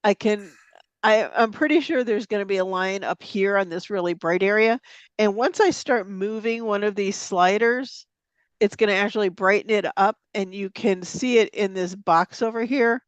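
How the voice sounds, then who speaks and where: A woman's voice: medium pitch, normal speed, dry recording, dull audio. A woman teaching a photo editing demonstration, chatty and casual with frequent ums, sniffling a little from a cold. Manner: chatty, laughs easily, lots of ums. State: has a slight cold, sniffling. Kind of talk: live software demonstration. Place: meeting room or online forum.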